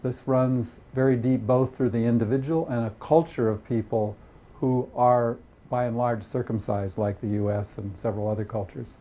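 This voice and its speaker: A man explaining his views gravely and emphatically, as an expert in an interview. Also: medium pitch, steady tone, normal speed, muffled recording.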